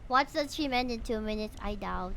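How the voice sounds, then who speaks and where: A woman's voice: medium pitch, normal speed, vocal fry, pushed and bright. A woman with a Filipino accent, a native Bisaya speaker, chatting playfully on a live game stream and sometimes reading dialogue aloud. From Philippines. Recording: noisy live stream, game audio behind. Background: game sound and voices.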